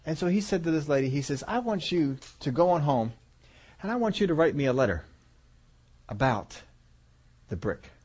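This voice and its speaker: A man preaching through a long story in a relaxed, folksy way. He chuckles often, and his voice sinks low and soft to land the key lines. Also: normal speed, long pauses, breathy edge. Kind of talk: storytelling sermon.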